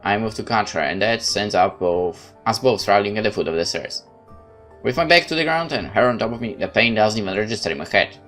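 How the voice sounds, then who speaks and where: A man's voice: lowish voice, expressive, a bit bright, faint music behind. A man giving a theatrical first-person reading of a horror visual novel. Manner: measured, theatrical narration. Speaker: game let's-play narrator.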